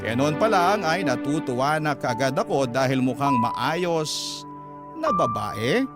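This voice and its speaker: A man's voice: mid-range voice, very animated, unhurried. A man with a Filipino accent narrating a parent's letter in a radio drama, in a sentimental storytelling manner. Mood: reflective. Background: prominent music.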